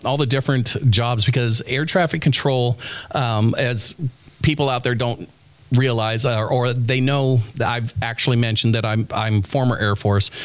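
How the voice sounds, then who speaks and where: A middle-aged American man hosting a casual interview, chatty and joking as he rambles along. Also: mid-range voice. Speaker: podcast host. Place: small indoor podcast studio. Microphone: close desk microphone.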